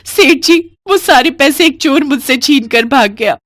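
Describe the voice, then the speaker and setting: Cartoon heroine, acted by a woman: humble young housemaid, kind, polite, emotional, high lively voice. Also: unhurried, dry recording, studio quality. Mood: pleading. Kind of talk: cartoon story acting.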